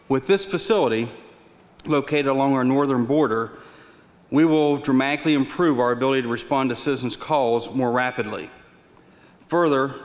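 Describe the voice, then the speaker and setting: A middle-aged man, a deputy police chief, reading a prepared speech in a formal, measured way. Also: medium pitch. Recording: echoey reverberant hall.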